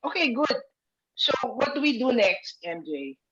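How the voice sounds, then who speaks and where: Animated Filipino woman on a dull call. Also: a little high, unhurried, breathy edge. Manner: emphatic, repeats phrases. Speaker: organizer leading an online rehearsal. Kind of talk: casual group discussion in Taglish. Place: video call. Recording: dull, lo-fi video call audio.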